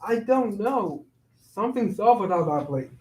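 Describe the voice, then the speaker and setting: Man acting an insistent friend, high-pitched, echoey room. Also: expressive, moderate pace, darkish tone. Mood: worried, insistent. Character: earnest, worried, insistent male friend. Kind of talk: staged play reading.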